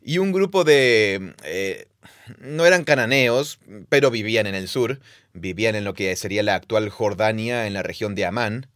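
A man's voice: on the low side, animated, moderate pace, fairly bright tone, clean tone, no echo, clean studio sound. A man co-hosting a conversational podcast, explaining Bible stories step by step with playful quiz asides. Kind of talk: casual teaching conversation.

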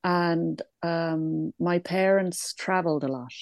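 A woman's voice: on the low side, takes it slowly, darkish tone, no echo, clean studio sound. A woman born in England, now living in Ireland, answering interview questions in a reflective, hesitant way with many restarts and small laughs. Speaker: guest.

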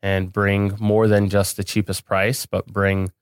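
A man's voice: fairly low pitch, completely flat delivery, unhurried, smooth, dry recording. A man speaking casually as an interview guest on a podcast, recorded in a studio.

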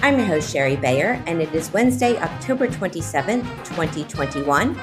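A woman's voice: low voice, lively intonation, moderate pace, music in the background. A woman hosting an interview podcast, reading introductions and talking with her guest. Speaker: podcast host.

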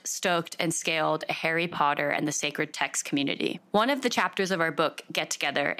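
A woman hosting a podcast, reading a scripted intro and then interviewing a guest, upbeat and quick to react. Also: fairly low pitch, a bit bright. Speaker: podcast host.

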